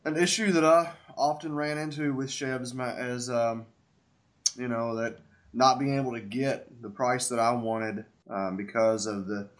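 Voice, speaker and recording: A man's voice: mid-range voice, fairly even intonation, a little slow. A man with a stuffy nose from a head cold, hosting a casual interview and asking curious, chatty questions. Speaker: podcast host, wild mushroom forager.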